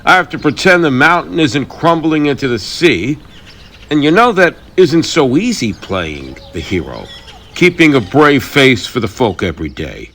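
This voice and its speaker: A man playing a weary, brooding thunder god in a mythic audio drama, confiding and halting in his burdened role as protector. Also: medium pitch, big pitch swings, a bit bright.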